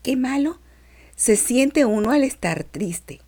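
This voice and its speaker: Brief: a woman playing a sweet six-year-old schoolgirl, slow, very animated storybook reading. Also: on the high side, breathy edge, exaggerated voice. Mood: frightened. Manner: slow, sing-song, very expressive. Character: cheerful six-year-old girl telling her dream. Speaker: reader of a children's lesson.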